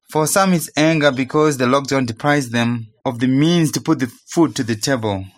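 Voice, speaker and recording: A man with a Zimbabwean accent delivering a prepared first-person news report as a citizen correspondent. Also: medium pitch.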